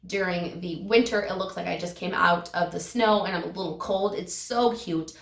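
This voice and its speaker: A hoarse woman with a cold-roughened, slightly raspy voice chats enthusiastically through a product review. She lingers on her favorite words. She sounds a little away from the mic, in a roomy, echoing space. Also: on the low side, recorded from a distance. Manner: enthusiastic, gushing, slows down to stress favorite words. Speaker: beauty vlogger. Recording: echoey room, voice a bit off-mic.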